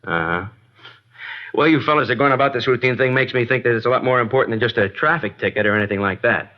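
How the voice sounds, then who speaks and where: A man's voice: mid-range voice, fast talker, close to an everyday voice. A man acting a guarded, reluctant working-class garage owner in an old-time radio drama, colloquial and grudging. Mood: testy, defensive. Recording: dull vintage radio broadcast.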